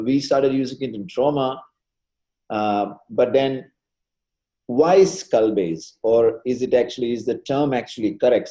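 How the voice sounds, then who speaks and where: A man, a neurosurgeon, giving an animated webinar lecture over a video call, with lots of rhetorical questions. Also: medium pitch, low-quality recording.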